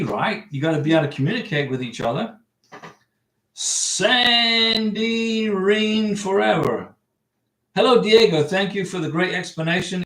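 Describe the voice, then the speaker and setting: A man's voice: on the high side, a bit bright, reverberant. A man hosting a livestream, chatting casually and rambling as he reads viewer comments and answers them. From United States. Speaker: livestream host giving visa advice. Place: home room.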